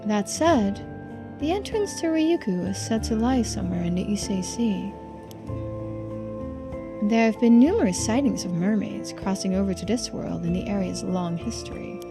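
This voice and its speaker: A woman voicing a genteel, composed and secretive housewife in a video game, speaking politely, formally and with quiet persuasion. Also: mid-range voice, lively intonation, normal speed, over music, close to an everyday voice. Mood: mysterious. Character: genteel, composed, secretive housewife who knows occult lore. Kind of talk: video game dialogue read aloud.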